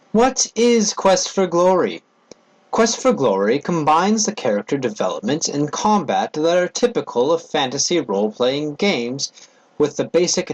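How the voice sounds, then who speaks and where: A man narrates a game manual as a dramatic reading. His voice sits a little high and rises and falls widely. He enunciates deliberately, with theatrical emphasis on the phrases. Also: rough recording.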